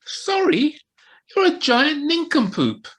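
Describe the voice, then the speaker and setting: A woman voicing a gruff, bossy older badger who scolds with authority in an audio play. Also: high voice, sing-song, slow, heavily acted. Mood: scolding. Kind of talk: community audio play acting. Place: living room, recorded over video call.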